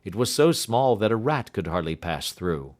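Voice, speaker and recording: A man voicing an earnest, puzzled gentleman companion in an audiobook, speaking plainly. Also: fairly low pitch, sing-song, moderate pace. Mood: puzzled, doubtful. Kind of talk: audiobook character dialogue.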